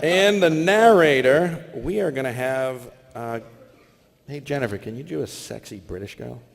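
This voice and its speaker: A man's voice: medium pitch, normal speed, vocal fry. A man casually hosting a live voice-acting panel on stage and directing the performers. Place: large convention hall on stage. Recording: echoey, noisy convention hall with crowd.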